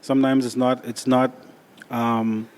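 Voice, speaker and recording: A man's voice: medium pitch, a little slow, clean tone. Man, podcast host, casual, conversational, thoughtful. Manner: relaxed, conversational, reflective. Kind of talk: casual interview chat.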